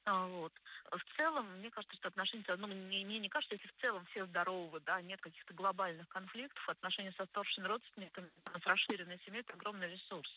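A woman speaking as a guest psychologist on a radio show, explaining conversationally and deliberately, stressing key words. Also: medium pitch, steady tone, sharp tone, a little hiss or hum, poor audio. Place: radio studio.

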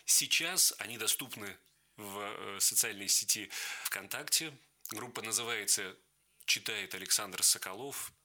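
Earnest low-voiced male actor. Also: unhurried, sharp tone. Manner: earnest, heartfelt. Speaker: actor and audiobook reader. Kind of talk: radio guest talk.

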